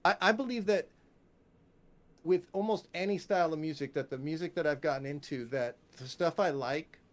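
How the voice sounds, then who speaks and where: A man's voice: on the high side, normal speed. A man from the United States chatting casually as an interview guest, opinionated and emphatic, often repeating phrases for weight. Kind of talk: casual chat.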